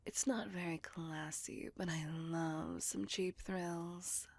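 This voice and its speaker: A woman's voice: sits low, level tone, takes it slowly, a little mellow, no echo. A woman voicing a possessive, seductive vampire in a whispered ASMR roleplay, doting on her lover with intimate, coaxing control. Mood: seductive, pleased. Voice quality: breathy whisper with husky, creaky edge. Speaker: voice actor.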